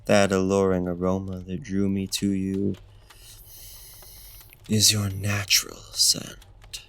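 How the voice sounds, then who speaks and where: ASMR roleplay vampire played by a man: courtly, predatory, seductive, very unhurried, creaky and breathy. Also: on the low side, a bit bright. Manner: formal, archaic phrasing, slow deliberate pauses, courtly endearments. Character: suave, predatory ancient male vampire, seductive and menacing. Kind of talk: ASMR roleplay, intimate theatrical monologue.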